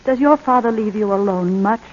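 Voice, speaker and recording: A woman's voice: medium pitch, fairly quick, close to an everyday voice. A woman playing a tender, anguished mother in a 1940s radio drama. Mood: tender, hesitant. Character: tender, anguished mother pleading with her estranged son. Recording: muffled old 1940s radio recording.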